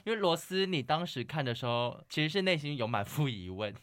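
A man's voice: a little high, animated, brisk, a bit bright, no room sound, studio quality. A man with a Taiwanese Mandarin accent hosting a podcast, chatting casually about film. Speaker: podcast host.

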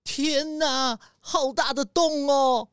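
A woman's voice: sits high, fairly even intonation, slow pace, dry recording, clean studio sound. A woman voicing a righteous, easily astonished young detective's companion in a children's audio drama, earnest and exclaiming.

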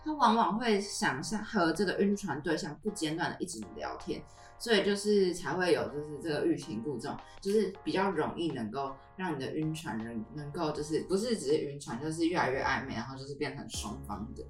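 Podcast co-host: young woman, low voice, lively intonation, casual, roomy and slightly distant. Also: a little fast, soft background music. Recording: roomy, echoey, off the mic.

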